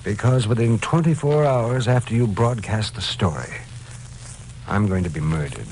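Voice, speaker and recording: An actor playing a suffering, desperate man in a hospital bed, grave, halting and intense, in old radio drama style. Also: lowish voice, lively intonation. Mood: grim, fatalistic. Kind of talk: 1950s radio drama acting. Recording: noisy old radio recording.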